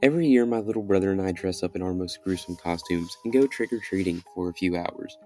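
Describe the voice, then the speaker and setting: A man's voice: low voice, quick pace, dark tone. A young man reading his own horror story as a podcast host, in dramatic first-person narration. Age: young adult. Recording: music behind the voice.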